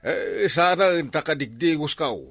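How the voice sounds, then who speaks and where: A man plays a teasing older neighbour who chuckles through his lines. His mid-pitched voice rises and falls a lot, and the sound is close and dry. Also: lively intonation, moderate pace, gravelly, a bit bright, no echo, exaggerated voice. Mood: joking. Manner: teasing, laughing. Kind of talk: comedy web drama acting.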